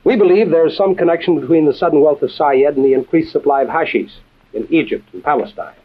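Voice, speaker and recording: An actor playing a composed, authoritative intelligence chief and adventure storyteller, measured and dry, in a vintage radio drama with tinny sound. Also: male voice, a little high, natural voice. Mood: grave, explanatory. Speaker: host and narrator-character. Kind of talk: old-time radio adventure drama acting.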